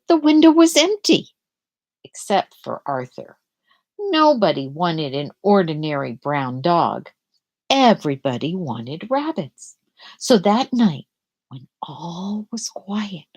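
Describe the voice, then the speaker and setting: A middle-aged woman hosting a live picture-book read-aloud, chatting casually and haltingly with young listeners and putting gentle questions to them. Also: medium pitch.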